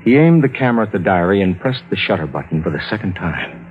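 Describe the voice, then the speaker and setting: A rational lawyer in a 1940s radio drama, with a lowish voice that swings widely in pitch. He speaks in a measured, earnest, skeptical way, like a careful witness. The recording is thin and tinny. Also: very animated. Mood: grave, measured. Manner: measured, earnest storytelling, pressing in argument. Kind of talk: 1940s-50s radio drama acting and narration. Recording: tinny old radio recording, music behind.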